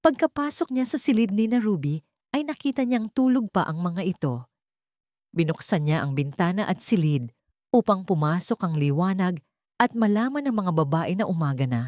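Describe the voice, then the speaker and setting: Expressive woman storyteller, lower-pitched voice. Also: normal speed, no room sound, studio quality. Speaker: audiobook narrator. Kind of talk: scripted dramatic story narration.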